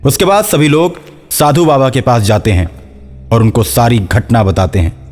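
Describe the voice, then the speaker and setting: A man's voice: medium pitch, moderate pace, darkish tone. A man narrating a scripted horror folk story as a storyteller. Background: faint music.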